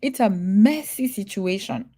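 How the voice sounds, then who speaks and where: A woman's voice: medium pitch, expressive, unhurried, warm tone, breathy edge. A woman from Kenya talking casually to her livestream viewers, earnest and emphatic, repeating her warnings and laughing easily. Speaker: vlogger.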